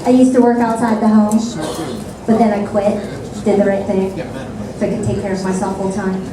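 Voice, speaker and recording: A woman's voice: mid-range voice, normal speed, a crowd in the background. Sarcastic woman comic in an echoey club. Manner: deadpan, sarcastic asides. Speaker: stand-up comedian. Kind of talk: stand-up comedy set. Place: comedy club stage. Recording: echoey club sound from a distant mic.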